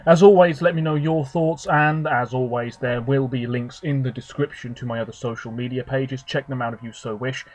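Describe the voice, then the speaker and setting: Slightly hoarse man rambling about favourites. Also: medium pitch, steady tone, normal speed, few pauses, a little mellow, rough recording. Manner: rambling, chatty, opinionated. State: hoarse, sore throat. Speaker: video host. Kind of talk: casual solo commentary.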